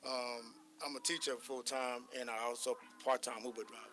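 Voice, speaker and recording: A man's voice: medium pitch, level tone, brisk, a bit bright. A man from Ghana guesting on a radio show, polite and thoughtful as he explains his ideas. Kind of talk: interview.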